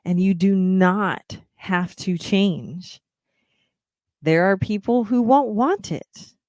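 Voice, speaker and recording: Slow, earnest woman podcaster. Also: mid-range voice, darkish tone, no echo, clean studio sound. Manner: earnest, encouraging, many ums. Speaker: podcast host, singer. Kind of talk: reflective solo podcast talk.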